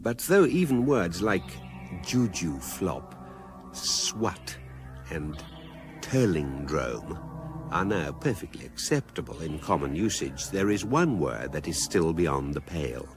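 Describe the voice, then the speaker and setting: An actor, a man, playing an urbane galactic guidebook narrator with a measured, deadpan delivery in a scripted radio comedy. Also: low voice, music in the background, exaggerated voice.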